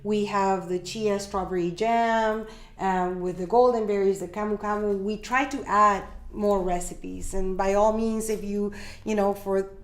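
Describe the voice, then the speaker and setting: A woman's voice: medium pitch, fairly even intonation, unhurried. Older woman in an echoey room. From Peru. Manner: explanatory, checks in with "right?" and "you know". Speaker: podcast guest, organic food business owner. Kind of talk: conversational interview.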